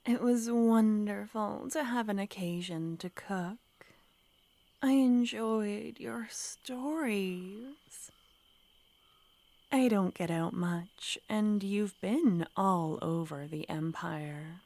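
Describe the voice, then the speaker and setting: Lady playing a clingy flirty witch, super slow and whispery, teasing asmr vibe. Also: mid-range voice, dry recording. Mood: intimate. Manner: soft, teasing, often whispered. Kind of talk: ASMR roleplay.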